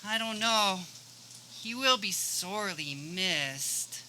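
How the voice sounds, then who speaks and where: A woman playing an exasperated, apologetic office colleague in a comedic radio play, earnest and deliberate. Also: medium pitch, animated, sharp tone, a little hiss or hum, exaggerated voice. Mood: consoling, wistful.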